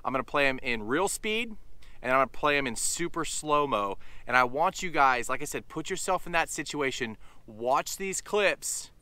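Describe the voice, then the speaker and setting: A man's voice: a little high, expressive, normal speed, pushed and bright, dry recording. A man in his thirties hosting a fishing channel, talking casually and upbeat to camera outdoors by a lake, addressing viewers as you guys. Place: outdoors on a sunny lakeshore.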